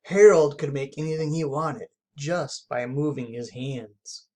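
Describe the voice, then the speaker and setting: Casting: children's storybook narrator, medium pitch, expressive and emphatic read-aloud delivery. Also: a little slow. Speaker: story reader.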